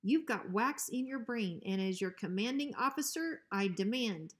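A woman's voice: mid-range voice, lively intonation, normal speed, lightly acted. A woman plays an officious storybook guard dog. He is bossy and full of bluster, yet a coward underneath. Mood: indignant. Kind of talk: children's storybook reading.

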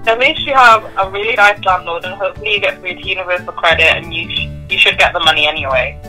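A woman's voice: fairly low pitch, quick pace, sharp tone, soft background music, low-quality recording. A woman in casual chat, reacting as a friend to what she is told.

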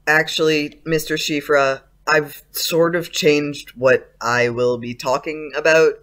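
An actor playing an earnest, persistent teenage schoolboy with casual, youthful phrasing in an audio drama. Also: male voice, on the high side, fairly even intonation, takes it slowly, fairly bright tone. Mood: eager.

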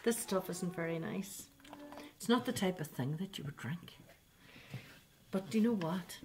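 A woman's voice: lowish voice, lively intonation, unhurried, a little mellow, a little hiss or hum. Chatty older woman teaching baking. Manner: chatty, talks directly to children, coaxing questions. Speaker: baking show host. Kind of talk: children's cooking demonstration. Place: home kitchen, indoors.

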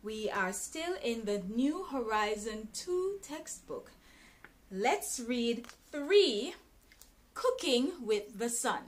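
A woman in her thirties reading an English textbook passage aloud in a careful, clearly enunciated teaching style, in a small bright room. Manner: careful, deliberate reading with expressive pitch. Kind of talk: slow, clearly enunciated read-aloud lesson.

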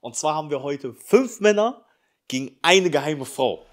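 A man's voice: fairly high pitch, lively intonation, moderate pace. A man hosting a studio game show, asking questions in a casual, slangy way. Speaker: host.

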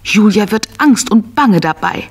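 A woman narrating a scripted children's audio story in an expressive storytelling manner. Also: medium pitch, measured pace, slightly rough.

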